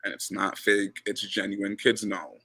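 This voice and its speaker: Low gravelly guy from ghana, bright forceful voice, chatting as a guest coach. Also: a little slow. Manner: relaxed, repeats words, says he has a loud voice. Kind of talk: casual interview chat.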